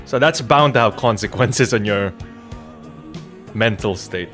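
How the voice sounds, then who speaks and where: Male streamer, fairly deep voice, loose sweary chat, joking delivery, studio condenser mic, faint music behind. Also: measured pace, a bit bright. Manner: rambling, informal, swearing; joking, irreverent. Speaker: game streamer. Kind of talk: casual livestream chat. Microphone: Rode NT1-A studio condenser microphone. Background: background music.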